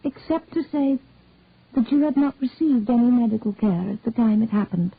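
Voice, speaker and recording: A reserved older mother speaks with formal, measured care, as a woman reader takes her part. Her voice sits a little high and is breathy. It comes through a dry, tinny, phone-like recording. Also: lively intonation. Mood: earnest.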